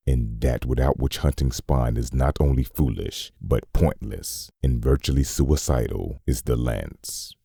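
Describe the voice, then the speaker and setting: A man playing a grim, menacing instructor, narrating a comic dub in a measured, solemn, deep and husky voice. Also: dark tone, dry recording, studio quality, heavily acted.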